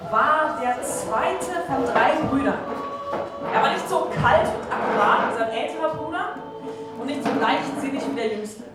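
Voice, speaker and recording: A woman performing as a measured narrator, reciting a literary novella in a stage drama. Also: high voice, lively intonation. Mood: recitative. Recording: very echoey, distant, over loud music.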